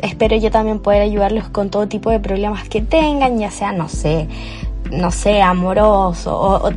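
A woman's voice: mid-range voice, normal speed, darkish tone, soft background music. Cheerful young Chilean woman chatting. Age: young adult. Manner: chatty and cheerful, with fillers and restarts. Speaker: podcast host. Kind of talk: improvised solo podcast monologue. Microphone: cheap home microphone.

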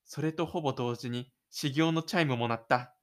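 A man's voice: mid-range voice, moderate pace. A man narrating a scripted audio drama in a dramatic storytelling style.

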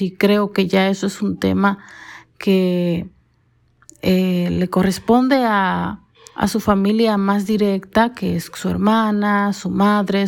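A woman from the Dominican Republic hosts her own podcast, speaking unhurriedly on her own. Her diction is careful and formal, polished by training in broadcasting, and her pitch sits in the ordinary middle range for a woman. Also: creaky, darkish tone. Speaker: podcast host. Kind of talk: solo podcast monologue.

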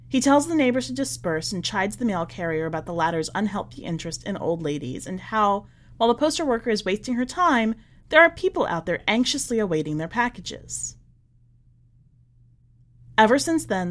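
A woman reading in a measured, expressive way, as a podcast host presenting and narrating short fiction. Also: medium pitch. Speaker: podcast host and story reader.

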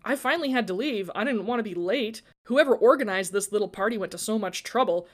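A woman's voice: medium pitch, animated, fast talker, close to an everyday voice. A woman voicing an exasperated, matter-of-fact teenage girl in a story.

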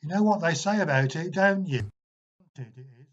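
A man's voice: on the high side, big pitch swings, a little slow, a little mellow, husky, pitched above the performer's own voice, heavily acted. A man voicing an irritable, bossy grown man who barks raised, hectoring questions. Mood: taunting. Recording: dull, muffled recording.

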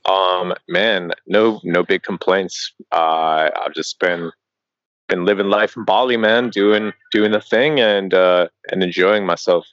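Laid-back deep-voiced man in his thirties. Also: takes it slowly, a bit bright, dry recording, lo-fi sound. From Colorado, USA. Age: early 30s. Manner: laid-back, calls the host "man" and "bro", many fillers and restarts. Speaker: podcast guest. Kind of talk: casual interview chat.

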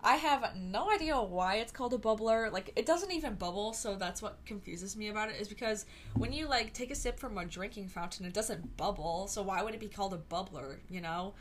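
A woman's voice: mid-range voice, lively intonation, brisk, slight background noise. A young woman from the Chicago area hosting a solo podcast, chatting casually and rambling through tangents with many fillers. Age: young adult. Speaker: podcast host.

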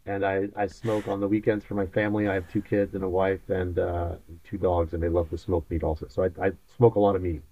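Podcast guest, man from Mexico raised in West Texas; low, mellow, easygoing; dull dry recording. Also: brisk, hardly any pauses, no echo. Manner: relaxed, explanatory, many fillers. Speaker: podcast guest, sales advisor. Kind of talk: casual interview answers.

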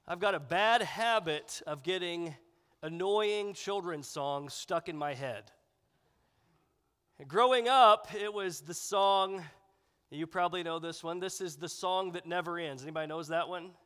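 A man's voice: a little high, normal speed, a bit bright, clear, resonant tone. A man preaching a sermon as pastor, sounding congested and nasal from a sinus infection, in a conversational way with fillers. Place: church sanctuary. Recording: long hall reverb.

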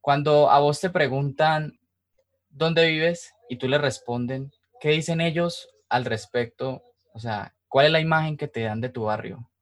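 A man's voice: on the high side, unhurried. A man with a Colombian accent from Cali, hosting and narrating an informal podcast. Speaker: podcast host.

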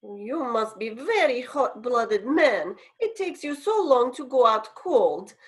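A woman's voice: on the high side, sing-song, slow pace. An actress playing a seductive, mysterious woman with a Russian accent in 1940s-style radio drama, coy and knowing. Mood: seductive menace. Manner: coy, speaks of herself in third person.

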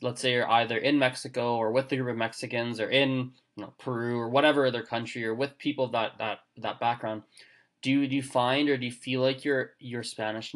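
A man hosting a casual chat, talking in quick bursts full of fillers and restarts. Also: medium pitch, a bit bright. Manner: chatty, lots of fillers and restarts. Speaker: podcast host. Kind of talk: casual conversation.

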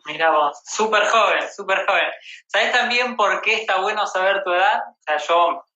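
A man's voice: sits high, normal speed, sharp tone. An Argentine man hosting a casual livestream interview. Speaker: host interviewing a guest. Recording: echoey room, dull livestream audio.

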